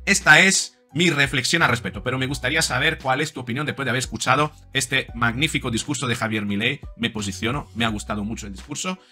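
A man's voice: medium pitch, pushed and bright. A man in his forties, a psychologist commenting to camera from a home studio, talking reflectively with fillers and restarts. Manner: reflective, with many fillers and repeats.

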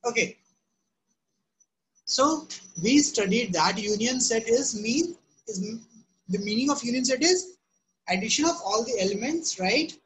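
A woman's voice: a little high, expressive, a little slow, pauses often, slight background noise, low-quality recording. A woman teaching a live online math class, often asking "right?" and "okay?" to check on students. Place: home room.

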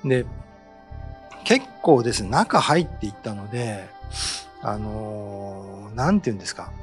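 Middle-aged man, host, animated storyteller, desk mic, small studio, faint music. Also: fairly low pitch, unhurried. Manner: animated storytelling, acts out sounds. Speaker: host and ghost-story writer. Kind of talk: casual talk explaining folklore. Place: small studio set with bookshelf backdrop, indoors. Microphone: desk mic on a stand.

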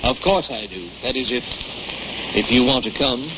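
Actor doing gruffly fond middle-aged dad in a 40s radio play, tinny. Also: male voice, medium pitch, moderate pace, natural voice. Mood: brusque. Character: doting, brusque middle-aged father. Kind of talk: 1940s radio drama acting. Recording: tinny, hissy 1940s radio recording.

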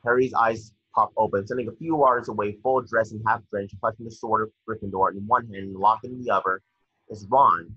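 Young male narrator for screenplay directions: husky, forceful, dry and slightly muffled. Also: fairly low pitch, fairly even intonation, a little fast, dry recording. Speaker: narrator reading stage directions. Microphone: computer mic on video call. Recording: dull, dry video-call audio.

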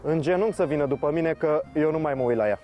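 An actor playing a wealthy man who shouts angry, repetitive accusations at his girlfriend. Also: on the high side, lo-fi sound, soft background music, lightly acted. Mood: furious.

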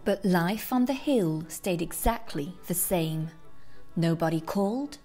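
A woman's voice: fairly low pitch, animated, unhurried, warm tone. A woman narrating a rhyming children's storybook aloud with theatrical, dramatic phrasing. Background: classical music.

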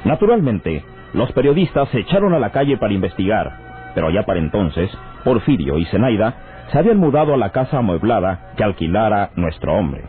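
A man's voice: on the low side, big pitch swings, brisk. A man narrating a radio serial in a florid, dramatic, declamatory style. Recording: tinny old radio, loud music behind. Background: loud music.

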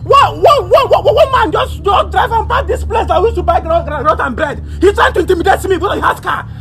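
A man's voice: very high-pitched, expressive, fast, vocal fry, fairly bright tone, heavily acted. A man with a Nigerian accent playing a hot-headed, boastful troublemaker in a comic drama, stammering and repeating his words. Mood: agitated, threatening. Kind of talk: comic drama acting in Nigerian English and Pidgin.

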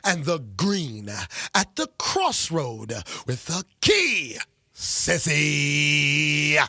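A man declaims in a bright, forceful voice, fairly high and swinging dramatically. He stretches out every word very slowly. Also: very animated, no echo, studio quality.

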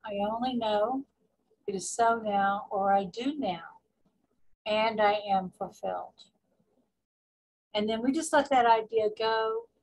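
A woman guiding a meditation as a spiritual coach, speaking deliberately in short phrases with pauses and repetition. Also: medium pitch, expressive, gravelly, darkish tone, poor audio. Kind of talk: guided meditation with spoken affirmations.

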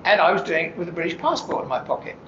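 A man in his seventies, a retired official, answering interview questions in a measured way that hedges and qualifies each point. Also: fairly high pitch, very animated, bright, forceful tone, reverberant, muffled recording, slight background noise. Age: 70s. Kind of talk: studio interview conversation. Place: green-screen TV studio, seated.

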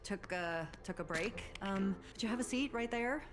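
A woman's voice: mid-range voice, normal speed, noisy background, natural voice. A woman playing an earnest, awkward scientist ghost hunter in a game cutscene, eager and stumbling over words. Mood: flustered. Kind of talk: scripted game cutscene acting. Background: loud music.

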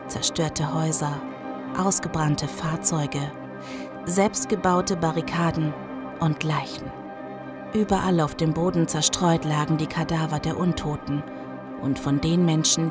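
A grim survivor, played by a woman narrates a zombie audio drama. Her voice is very low and measured, with loud music underneath. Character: grim female survivor recounting a zombie apocalypse. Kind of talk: scripted first-person audio drama narration.

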